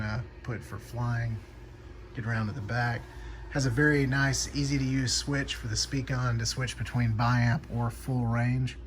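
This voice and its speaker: A man presents gear in an informal, unscripted way, like an installer showing a product to viewers. His voice sits at an average male pitch. There is some noise behind him. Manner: informal, off-the-cuff. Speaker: audio equipment reviewer and installer. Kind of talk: casual product overview and demo.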